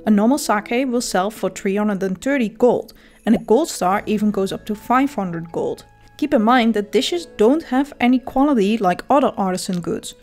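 A woman's voice: mid-range voice, darkish tone. A woman with a Dutch accent, a native Dutch speaker, narrating a scripted game guide with dry, self-mocking humor.

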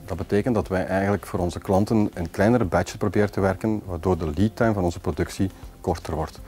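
A man in his forties with a Flemish accent, explaining his metalworking firm in a measured, businesslike corporate interview, recorded on a lapel mic in an office. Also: low voice, darkish tone, soft background music. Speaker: company executive. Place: office with glass wall.

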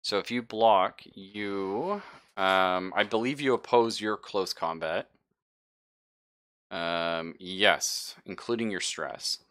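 A man's voice: low voice, slow, a bit bright. A man acting as game master, casually explaining rules and narrating the action in an informal, jokey way. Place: online game session over voice chat.

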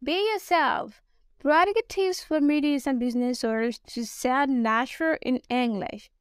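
A Nigerian woman with a Nigerian accent, a business English coach talking alone on her podcast, spelling out words slowly. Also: high-pitched, smooth, no room sound, studio quality. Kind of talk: solo podcast monologue.

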